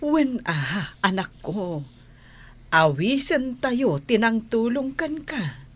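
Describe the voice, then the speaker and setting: A woman with an Ilocano accent playing a calm, reassuring mother in a radio drama. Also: a little high, slow, breathy edge, heavily acted.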